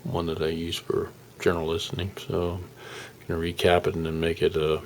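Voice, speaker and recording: A man giving a casual, unscripted hands-on demonstration as a hobbyist, explaining in a halting, rambling, folksy way. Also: deep voice, completely flat delivery, a little hiss or hum. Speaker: hobbyist radio restorer presenting his project.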